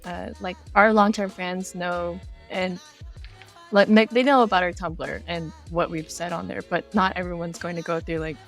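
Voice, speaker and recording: A woman's voice: fairly low pitch, fairly even intonation, moderate pace, faint music behind. A woman talking casually and playfully as a game developer guest in an interview.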